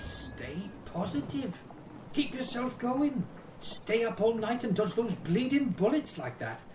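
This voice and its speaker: A man's voice: extremely high pitch, sing-song, measured pace, a little mellow, heavily acted. Heard from a distance amid noise, a man plays a British trench soldier in a radio drama. He grumbles with weary, sardonic humour, his voice rising and falling expressively. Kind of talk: dramatic dialogue in an audio play. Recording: roomy, distant, clearly noisy recording.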